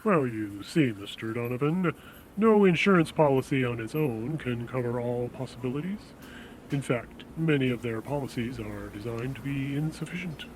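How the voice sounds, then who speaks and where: A man playing a polite, persuasive insurance salesman in an audio drama, speaking in a measured, reassuring way. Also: medium pitch, animated, a little hiss or hum, lightly acted, low-quality recording. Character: smooth-talking, reassuring male death insurance salesman.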